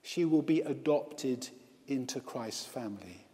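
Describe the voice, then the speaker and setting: A male priest and hospital chaplain preaching a baptism sermon, slow and emphatic, with repeated lists. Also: medium pitch, animated, dark tone. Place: church with long reverb. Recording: reverberant church hall.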